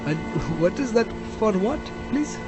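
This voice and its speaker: An actor in a comic radio drama playing an excitable, nervous immigrant cab driver who stammers and repeats himself. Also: male voice, high-pitched, a little slow, loud music behind the voice. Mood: bewildered.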